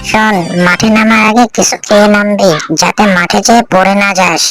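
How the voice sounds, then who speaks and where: A woman's voice: mid-range voice, steady tone, brisk, a bit bright, soft background music, natural voice. A woman voicing a jealous, quarrelsome fox wife in a cartoon, sarcastic and accusing. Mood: scolding. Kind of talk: Bengali cartoon voice acting.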